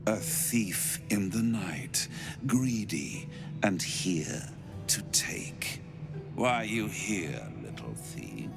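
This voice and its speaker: Slow, seductive, creaky-voiced incubus. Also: male voice, low voice, sing-song, over music, heavily acted. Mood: sly menace. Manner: theatrical, teasing, drawn-out delivery. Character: seductive, cruel, playful male incubus. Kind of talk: video game character acting.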